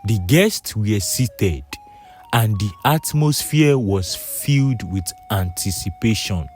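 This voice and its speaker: Male narrator for folktale storybook. Low voice, slow pace, light music underneath. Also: lively intonation, darkish tone.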